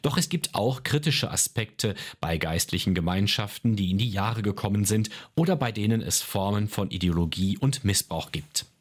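A man hosting a church radio podcast, presenting in calm, formal scripted narration. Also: on the low side, normal speed, few pauses. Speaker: podcast host.

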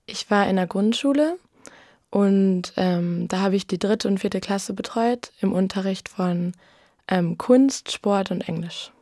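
A woman's voice: medium pitch, a little slow, warm tone, dry recording, studio quality. A young woman in her twenties, a student and former volunteer, answering interview questions in a plain, casual way. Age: 20s.

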